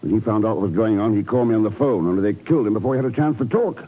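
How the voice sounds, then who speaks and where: A cool, world-weary male secret agent from a 1950s radio drama. His voice is low and husky, and he talks in terse, commanding lines. The recording is muffled. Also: rapid-fire. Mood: grim.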